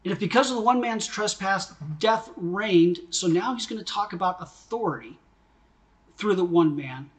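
A man's voice: high voice, animated, moderate pace. A middle-aged man preaching a sermon from his home study, teaching in a conversational way, asking questions and gesturing as he explains. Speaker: pastor. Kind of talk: sermon recorded at home for video.

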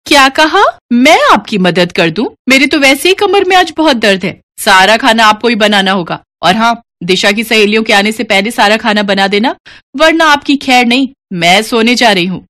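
A woman's voice: on the high side, lively intonation, moderate pace, fairly bright tone, voice pushed up. A woman voicing a spiteful, hot-tempered daughter-in-law in a cartoon story, scolding and domineering. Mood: threatening.

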